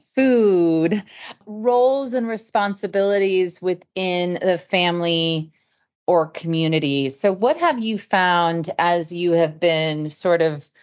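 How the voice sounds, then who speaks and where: A middle-aged woman with a fairly low voice hosts a podcast interview. She asks her questions in a measured, thoughtful way. The audio is slightly dull and lo-fi. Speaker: podcast host. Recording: muffled lo-fi audio.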